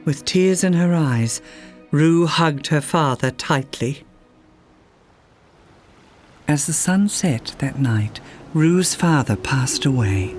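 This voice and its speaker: A man reading a children's fairytale aloud as a storyteller, in expressive scripted narration. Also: medium pitch. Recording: music behind the voice. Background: music.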